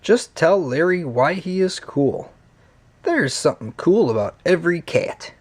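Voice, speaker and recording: A man voicing a gentle, encouraging mother cat in a children's storybook, reassuring and expressive. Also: a little high, measured pace, breathy edge, full character voice.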